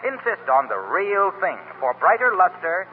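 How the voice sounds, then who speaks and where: Male 1930s radio pitchman, polished, lively inflection, narrow tinny broadcast sound. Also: normal speed, a little hiss or hum. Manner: polished, salesmanlike. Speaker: announcer. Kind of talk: 1930s radio commercial announcing.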